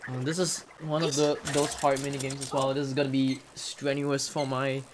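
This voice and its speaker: A man's voice: mid-range voice, little pitch movement, moderate pace, background noise. A man giving casual, chatty live commentary while playing a video game as a speedrunner. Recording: game music behind the voice. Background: video game music.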